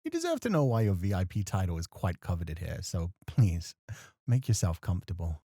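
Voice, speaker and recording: A man playing a courteous, gently teasing club host in a soft, flirty ASMR roleplay, suave and polite. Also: deep voice, wildly swooping pitch, a little fast, no room sound, studio quality, exaggerated voice. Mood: polite, playful.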